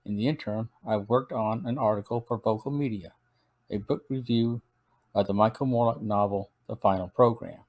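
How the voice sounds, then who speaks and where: A man's voice: fairly low pitch, moderate pace. A man hosting a podcast and reading a scripted review of old radio episodes. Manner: steady, even read-aloud delivery. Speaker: podcast host.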